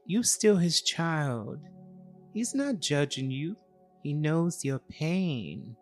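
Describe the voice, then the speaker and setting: Guy doing a motherly neighbor lady in her fifties, plain talking, reassuring, pitched up, storytelling over music. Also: animated, takes it slowly. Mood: firm, reassuring. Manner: reassuring, plain-spoken, maternal. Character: kindly, firm neighbor woman in her fifties.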